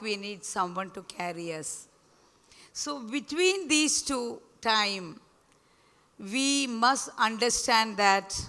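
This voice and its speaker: A hoarse woman in her fifties, a school principal, giving an earnest, emphatic speech at a school event and addressing the audience directly. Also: mid-range voice, bright, forceful tone. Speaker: school principal, guest speaker. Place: large event hall. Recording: reverberant hall over speakers.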